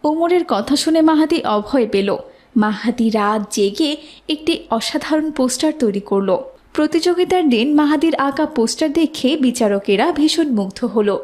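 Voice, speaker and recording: A woman's voice: fairly high pitch, a little mellow. A woman working as narrator, reading a scripted moral tale.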